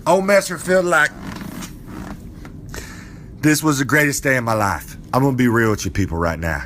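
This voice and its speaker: A man's voice: mid-range voice, normal speed, fairly bright tone, a little hiss or hum, natural voice. A man voicing a boastful, folksy old male wrestler character with a drawl who rambles and preaches. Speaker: podcast character segment.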